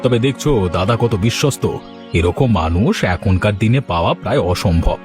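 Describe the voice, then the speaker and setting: A man's voice: fairly low pitch, animated, moderate pace, lightly acted. A man playing a talkative, coaxing biryani eatery owner and doting husband in a Bengali cartoon. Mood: cheerful. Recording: music behind the voice.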